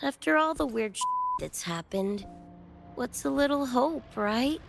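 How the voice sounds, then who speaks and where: A woman's voice: high-pitched, lively intonation, unhurried, vocal fry, music in the background, exaggerated voice. A woman playing a brash, scrappy young cyberpunk gang member in a game, with cocky, slangy talk and a wistful side. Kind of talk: animated game character dialogue.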